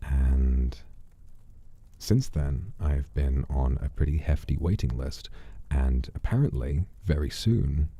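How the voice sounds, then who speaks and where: Very deep, dark, gravelly man's voice. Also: slow pace, no echo. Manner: soft-spoken, often dropping to a breathy murmur. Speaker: livestream host. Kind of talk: casual livestream chat, reflective.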